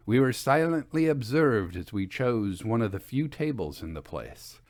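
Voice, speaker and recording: Expressive male storyteller with lively pitch. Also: moderate pace, no room sound, clean studio sound. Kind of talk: scripted first-person story narration.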